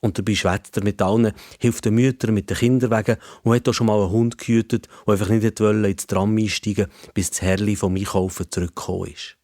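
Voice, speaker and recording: A man's voice: fairly low pitch, fairly even intonation, fairly quick, few pauses, a little mellow, no room sound, studio quality. A man with a Swiss German accent narrating a children's story in the first person as a storyteller.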